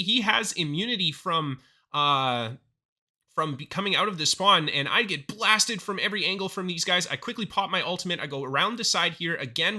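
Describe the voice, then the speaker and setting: A young man narrating his own gameplay clips into a close desk mic, his voice a little hoarse from a recent illness. The tone is very bright and sits slightly high for a man, and he turns upbeat and excited on big plays. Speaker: gaming YouTuber. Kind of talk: gameplay commentary voice-over.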